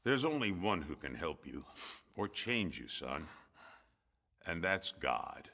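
Deep, stern judge in echoing hall. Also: male voice, very animated, slow, vocal fry, bright, forceful tone, full character voice. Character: stern, grave male courtroom judge. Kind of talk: radio drama acting. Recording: long reverberant hall echo.

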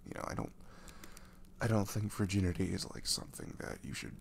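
A man playing an affectionate, dorky young boyfriend in an ASMR roleplay, speaking softly and breathily, often near a whisper, in a halting, tender way. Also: low-pitched, big pitch swings, vocal fry, a little hiss or hum, heavily acted. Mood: sincere, reassuring.

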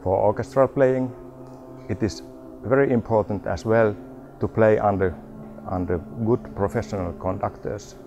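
A middle-aged man from Finland, a youth orchestra coach, speaking in a measured, earnest way with some hesitations in a documentary interview. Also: lowish voice, fairly even intonation. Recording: music bed underneath.

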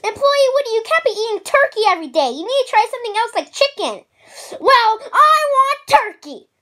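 A young male performer voicing loud, exasperated toy puppets, calling out and drawing out words in an amateur puppet show. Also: very high-pitched, very animated, heavily acted. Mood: bossy. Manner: drawn-out calling, exclaiming.

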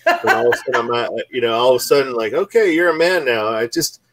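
A man's voice: medium pitch, lively intonation, fast, fairly bright tone, no room sound. A man in his forties from Oregon, co-hosting a casual book club chat over a video call, talking in earnest, rambling runs with many restarts. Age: 40s. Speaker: book club podcast co-host. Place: small home room. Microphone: studio mic on stand with headphones.

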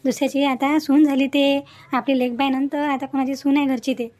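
High-voiced, soothing middle-aged mother played by a woman. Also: level tone, a little fast, darkish tone, close to an everyday voice. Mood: placating. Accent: Vidarbha Marathi. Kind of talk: cartoon family drama dialogue.

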